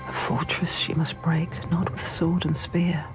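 A woman playing a solemn storyteller spirit in a video game, narrating in a hushed, breathy, measured and ominous poetic cadence. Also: on the low side, flat delivery, whispered, lightly acted, rough recording. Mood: solemn, foreboding. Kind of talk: scripted dramatic game narration. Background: loud music.

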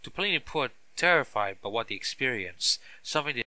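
A man acts as a male TV reporter on a breaking news story. His voice is very bright and forceful at a medium pitch, and the sound is dry and close. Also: lively intonation, fairly quick, dry recording. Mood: serious, urgent. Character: urgent local TV news reporter, adult man.

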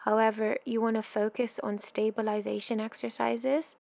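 A woman tutor narrating a scripted slideshow lesson in a measured, instructional way, pausing to ask the listener questions. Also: fairly high pitch, level tone, vocal fry, darkish tone. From United Kingdom. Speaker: fitness course tutor. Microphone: voice-over.

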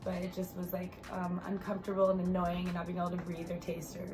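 A woman in her thirties vlogging in casual chat to a phone camera in a bright living room, sounding stuffy and congested and rambling with many fillers. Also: on the low side, monotone, fast, music in the background.

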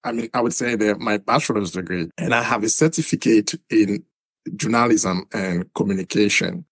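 Middle-aged Haitian man, interview guest, slow and halting, with a low, dry voice. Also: a bit bright, breathy edge, dry recording. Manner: halting, many repeated words. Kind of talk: interview answers.

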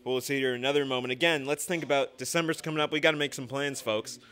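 A man's voice: medium pitch, steady tone, fast talker, fairly bright tone, clean tone. A young man hosting a show in a casual, chatty, folksy way, ad-libbing into a stand mic. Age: young adult. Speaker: host. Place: small indoor studio. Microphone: stand microphone.

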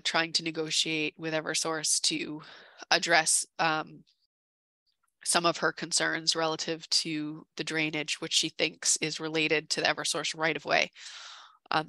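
Woman, low and forceful voice beginning to tire, careful halting explainer, staff briefing over a headset. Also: dry recording. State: voice starting to give out. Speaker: town conservation staffer presenting a case. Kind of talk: informal meeting presentation. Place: indoor room, on video call. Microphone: headset on video call.

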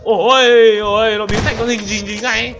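A man giving excited, casual gameplay commentary as a gaming host, exclamatory and slangy, often repeating his words. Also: high voice, normal speed, music in the background.

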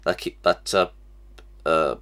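Young male host with a cold, fairly low voice, halting and repetitive delivery, recorded at a desk webcam. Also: a bit bright. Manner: stammering, many restarts and fillers. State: has a cold, sniffly. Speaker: reaction channel host. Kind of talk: casual reaction vlog. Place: small cluttered room indoors.

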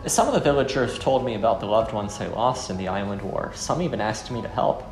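A man playing a weary, brooding former soldier turned fisherman in a visual novel, speaking in a solemn, earnest, measured way. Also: fairly low pitch, animated, a little mellow. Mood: regretful, weary. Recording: echoey room under music.

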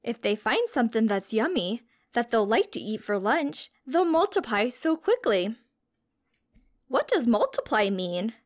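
A woman's voice: a little high, very animated, unhurried. A woman reading a storybook aloud to young children as a teacher, expressively, with simple questions and explanations.

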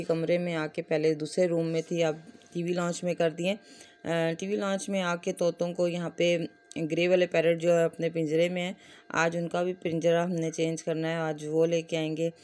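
A woman with a Pakistani accent narrates a family vlog in an informal way. Her pitch stays fairly flat and even as she explains things in a chatty, run-on storytelling manner. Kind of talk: casual vlog voice-over.

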